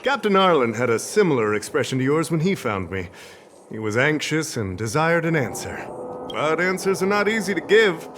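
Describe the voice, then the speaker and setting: A man's voice: a little high, big pitch swings, moderate pace, fairly bright tone, a little hiss or hum. A man playing a vain, pompous professor in a video game, speaking dramatically and evasively. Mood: smug, weary. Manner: pompous, dramatic, stammering when flustered. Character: vain, theatrical male professor, evasive and easily flustered.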